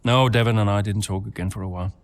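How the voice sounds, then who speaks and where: A man's voice: on the low side, steady tone, fast, lightly acted. An actor playing a retired racing driver and father, speaking candidly and hesitantly, as if interviewed in a game cutscene. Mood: subdued, reluctant.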